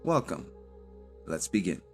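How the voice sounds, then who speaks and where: A man hosting and narrating a cinematic audio drama in dramatic, measured short phrases with weighted pauses. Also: deep voice, sing-song, gravelly. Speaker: host and narrator. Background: music.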